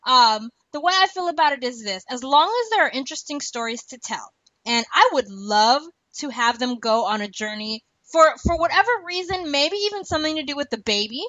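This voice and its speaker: High-voiced woman podcasting, dull dry audio. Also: lively intonation, fairly quick, a bit bright, dry recording. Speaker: podcast host. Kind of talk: casual podcast discussion.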